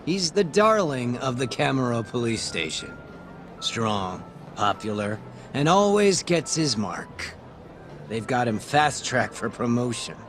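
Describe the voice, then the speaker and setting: An actor playing a smug, corrupt police detective who sells information, breezy and teasing, in an English video game dub. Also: male voice, medium pitch, sing-song, a little slow, fairly bright tone, slight background noise. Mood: wry, chatty. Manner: breezy, cocky, wry, teasing.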